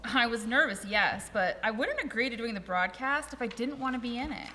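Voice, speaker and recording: An actress playing a nervous, wounded, earnest young performer in a live radio comedy. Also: female voice, on the high side, lively intonation, fairly quick, pushed and bright. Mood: hurt, indignant. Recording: reverberant ballroom stage.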